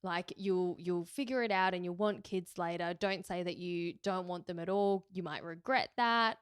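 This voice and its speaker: A woman speaks candidly into a close mic, thinking aloud and correcting herself as she goes. Her voice sits a little low and sounds smooth and resonant. The sound is dry, with no room around it. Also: no room sound. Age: 30s. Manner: candid, thoughtful, with fillers and self-corrections. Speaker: podcast host. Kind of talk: reflective solo podcast talk.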